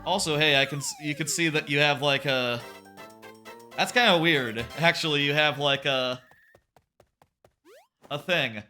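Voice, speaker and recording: A man livestreaming a game, talking casually in a loud voice that rambles and corrects itself, sitting about an arm's length from his microphone. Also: on the high side, unhurried, long pauses, faint music behind. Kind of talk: casual live gameplay chat.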